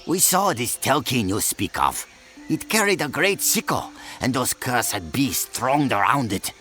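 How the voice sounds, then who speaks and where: An actor playing a wary male witness from an ancient land in a video game, telling his account gravely. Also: medium pitch, very animated, measured pace, sharp tone, faint music behind. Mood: grave, alarmed. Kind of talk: dramatic video game voice acting.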